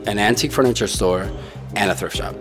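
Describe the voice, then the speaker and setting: A man's voice: on the low side, fast talker. A young man from the Tijuana area, a native Spanish speaker, telling his story in a casual, laid-back way, calling people dude and bro. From Mexico, Tijuana area. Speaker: interviewee. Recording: music playing underneath.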